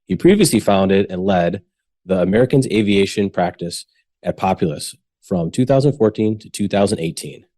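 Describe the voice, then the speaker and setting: Low mellow male podcast host. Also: expressive, normal speed. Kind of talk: scripted intro then casual interview.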